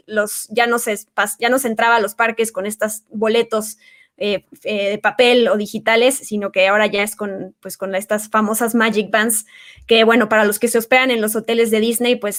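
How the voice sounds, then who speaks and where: A woman with a Mexican accent chatting casually, telling stories about her work with frequent restarts. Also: fairly high pitch. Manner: warm storytelling with many restarts and fillers. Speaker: guest. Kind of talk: casual chat.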